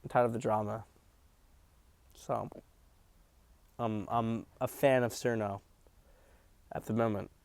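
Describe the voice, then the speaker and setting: A man's voice: on the low side, fairly even intonation, slow pace, pauses often, a little mellow, clear, resonant tone, no room sound. Blunt, profane male political commentator. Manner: blunt, profane, emphatic with repeated restarts. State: hiccups.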